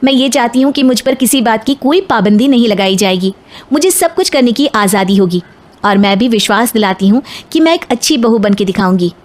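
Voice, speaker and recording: A woman's voice: a little high, animated, a little fast, close to an everyday voice. A woman voicing a young educated, determined bride who speaks up firmly in a moral story. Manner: protesting, firm.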